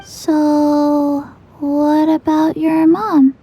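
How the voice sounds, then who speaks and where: Tiny zombie girl, high soft voice, extremely slow n deadpan, anime game. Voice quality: soft, childlike. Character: small undead girl, sleepy, deadpan and sweet.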